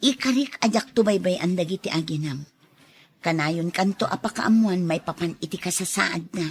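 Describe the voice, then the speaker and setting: A woman with an Ilocano accent playing a loyal, concerned confidante in an audio drama, with a husky voice. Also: on the low side, moderate pace. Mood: supportive. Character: loyal, concerned female confidante of a troubled man. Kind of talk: Ilocano radio drama acting.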